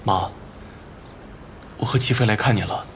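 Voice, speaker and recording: An actor playing a guarded, grieving son in a Chinese audio drama, speaking in a measured, reflective way. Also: male voice, on the low side, slight background noise, lightly acted. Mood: somber, reflective. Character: grieving, guarded adult son of a wealthy family. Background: prominent background music.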